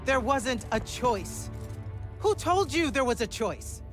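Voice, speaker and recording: An actress playing a stern, exasperated army general in a game cutscene, authoritative and sardonic. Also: female voice, sits high, animated, slow pace, faint music behind. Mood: angry. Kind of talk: dramatic video game cutscene acting.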